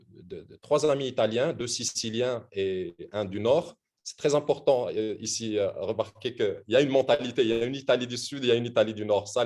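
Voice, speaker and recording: Man talking music, mid-pitched. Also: darkish tone. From Morocco. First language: Arabic. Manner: reflective, anecdotal, searching for words. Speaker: musician on a panel. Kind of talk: round-table talk.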